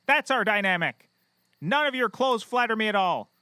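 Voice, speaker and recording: An excitable, self-important comic private eye, with a sharp, high voice. He is petty and theatrical, bickering and insulting in big swoops of pitch. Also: normal speed, smooth, dry recording, clean studio sound. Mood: scornful. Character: excitable, petty, bombastic male private investigator.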